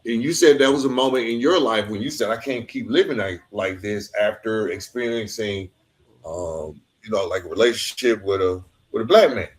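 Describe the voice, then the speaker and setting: An American man hosting a casual podcast interview, searching haltingly for his questions. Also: lowish voice. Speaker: podcast host.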